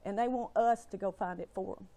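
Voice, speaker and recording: A woman's voice: mid-range voice, steady tone, a little fast, warm tone. An upset woman with a Southern US accent speaks straight to camera in an impassioned rant. Her voice shakes, and her delivery is emphatic and exasperated, with plain folksy phrasing. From Alabama, United States. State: upset, shaky voice. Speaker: family caregiver.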